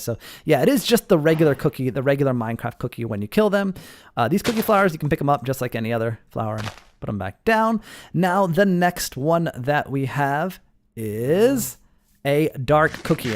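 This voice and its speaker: A man giving casual gameplay commentary as a host, explaining things step by step and talking directly to his viewers. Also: on the high side. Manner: explanatory, addressing viewers as guys. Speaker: host of a Minecraft mod showcase.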